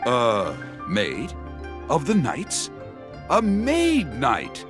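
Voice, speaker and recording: Wildly animated, theatrical storyteller. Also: male voice, mid-range voice, extremely slow, music in the background, heavily acted. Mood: intrigued, persuasive. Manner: florid, theatrical. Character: eloquent, theatrical local storyteller, flattering and eager. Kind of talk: video game voice acting.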